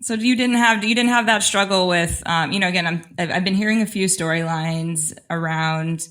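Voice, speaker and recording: A woman's voice: lowish voice, normal speed, a bit bright. A woman hosting an interview, encouraging and affirming toward her guest. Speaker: podcast host.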